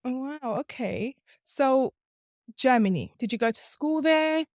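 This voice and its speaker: A young woman hosting an interview podcast, curious and lively, asking follow-up questions. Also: sits high, a little slow, dry recording, clean studio sound. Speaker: podcast host. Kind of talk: interview podcast, scripted intro then chat.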